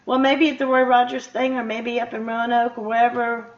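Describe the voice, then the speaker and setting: A woman's voice: on the high side, level tone, fairly quick, lo-fi sound. A woman hosting a radio show, chatting and reading scripted announcements. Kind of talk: friendly interview sign-off and scripted sponsor reads.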